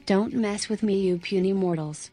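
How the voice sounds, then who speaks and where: A synthetic woman's voice reading short viewer messages aloud in a flat read-out manner. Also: mid-range voice, normal speed, music in the background.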